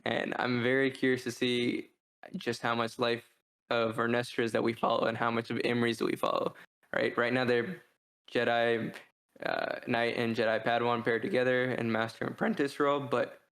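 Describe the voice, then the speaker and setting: A man's voice: medium pitch, little pitch movement, moderate pace, a bit bright. A man co-hosting a review podcast in a casual, discursive way, often posing questions as he thinks aloud. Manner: discursive, poses rhetorical questions. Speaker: podcast co-host reviewing books.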